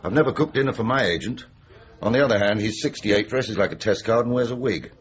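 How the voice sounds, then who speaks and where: A man acting a wry, world-weary writer, lively pitch. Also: fast, dull audio, slight background noise, natural voice. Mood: sardonic. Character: disillusioned, sardonic middle-aged male comedy writer. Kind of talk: naturalistic radio comedy drama acting.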